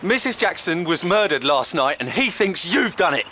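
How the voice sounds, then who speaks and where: A high-pitched, jittery young policeman. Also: sing-song, moderate pace, sharp tone, a little hiss or hum, exaggerated voice. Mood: nervous blurting. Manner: nervous, jittery, blurting. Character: skinny, skittish, timid young assistant policeman. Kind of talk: full-cast audio drama acting.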